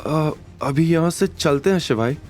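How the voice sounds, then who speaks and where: A man voicing a gentle, loyal young woman who speaks earnestly and tensely in an audio drama. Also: a little high, unhurried, faint music behind, voice pushed up, natural voice. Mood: nervous.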